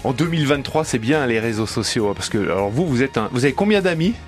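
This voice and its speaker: A man presents a radio magazine programme. He speaks into a studio microphone, with faint music underneath, at an ordinary male pitch. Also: lively intonation, fast talker. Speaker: radio host. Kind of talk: lively magazine show presenting.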